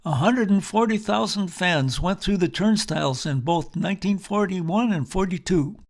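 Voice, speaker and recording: Male tour narrator, somewhat high register. Measured storytelling delivery, local history.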